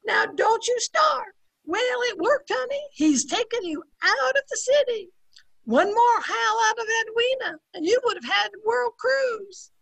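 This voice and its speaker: A woman's voice: very high voice, animated, unhurried, vocal fry, fairly bright tone, exaggerated voice, low-quality recording. A woman in her sixties playing a sardonic, long-suffering, wisecracking maid in an old-time radio comedy, recorded over a video call. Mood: brisk, wry. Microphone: computer mic on video call.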